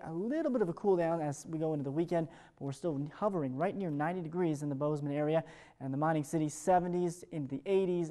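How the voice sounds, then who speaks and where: An American man delivers a television weather forecast at a brisk clip. His voice is fairly high for a man and softly mellow, and his delivery is chatty and upbeat, like an ad-libbed morning show segment.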